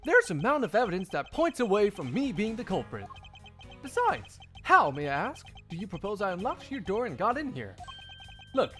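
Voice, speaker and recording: A man's voice: sits high, big pitch swings, normal speed, fairly bright tone, faint music behind, pitched above the performer's own voice. A man voicing a cocky, mocking male prosecutor in a game fan dub, smug and theatrical. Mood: defiant.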